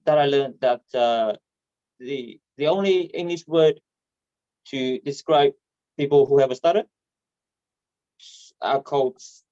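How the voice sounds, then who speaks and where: A man from Vietnam with a Vietnamese accent and a stutter, performing stand-up comedy haltingly over a video call. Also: mid-range voice, warm tone, dry recording. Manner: hesitant, halting delivery with repeated words. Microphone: video call microphone. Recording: dull, muffled online call audio.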